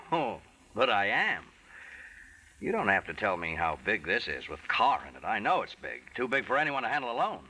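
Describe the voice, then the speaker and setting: An actor playing a smooth, sly con man posing as a private detective in a 1940s radio mystery. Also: lowish voice, big pitch swings, moderate pace, bright, forceful tone, heavily acted. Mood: sly, pressing. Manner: sly, smooth-talking, hesitating coolly. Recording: noisy vintage 1940s radio recording.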